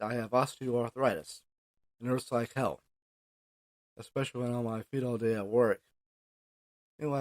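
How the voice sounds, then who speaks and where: Halting middle-aged American man, muted tone. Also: medium pitch, darkish tone, dry recording, low-quality recording. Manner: halting, rambling delivery. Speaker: podcast host. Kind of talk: casual solo podcast monologue.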